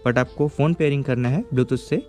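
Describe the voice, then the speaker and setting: A man's voice: medium pitch, fairly even intonation, fast talker, warm tone, soft background music. A man giving a casual, step-by-step product review, explaining patiently and checking in with the viewer as he goes.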